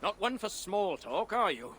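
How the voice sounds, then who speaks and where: A high, cheeky dwarf miner. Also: male voice, sing-song, unhurried, fairly bright tone, slight background noise, full character voice. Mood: wry. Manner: breezy, joking, colloquial. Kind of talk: dramatic video game voice acting.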